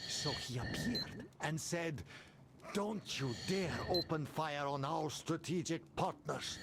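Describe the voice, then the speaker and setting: A man's voice: on the high side, gravelly, background noise, exaggerated voice. An actor playing a cheeky, wry gunman in a video game, teasing and spinning tales theatrically. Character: cheeky, joking male wasteland gunman, tale-teller. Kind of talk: video game cutscene banter.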